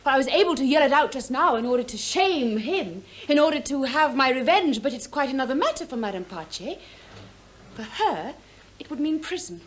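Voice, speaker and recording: A woman's voice: high voice, expressive, moderate pace, a little hiss or hum. An actress playing an impetuous, scornful, defiant young woman in a radio drama, with intense, declamatory delivery. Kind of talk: theatrical radio drama acting.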